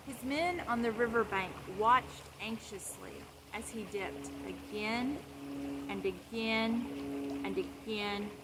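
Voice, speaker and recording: A woman reading a Bible story aloud for children, explaining it simply and repeating phrases. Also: on the high side. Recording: clear steady background noise.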